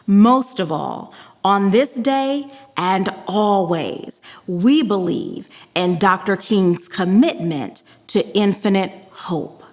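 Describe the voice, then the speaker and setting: A woman hosting an event, giving a formal scripted closing address with deliberate, measured phrasing and emphatic pauses. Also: mid-range voice, expressive. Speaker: event host and museum representative. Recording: reverberant hall sound.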